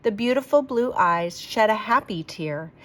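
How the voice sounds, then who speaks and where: Lady reading a kids picture book out loud, really animated, voice goes up and down a lot. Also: medium pitch, a little slow. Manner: expressive, emphatic delivery for young listeners. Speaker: teacher reading picture books aloud for children. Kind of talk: read-aloud storytelling of a children's picture book.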